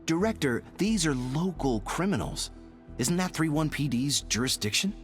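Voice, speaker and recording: A quick-witted squad agent with a dry sense of humor and a very lively, swooping delivery. His pitch sits in the middle range, and soft music runs beneath. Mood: serious. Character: wry, joking male squad agent.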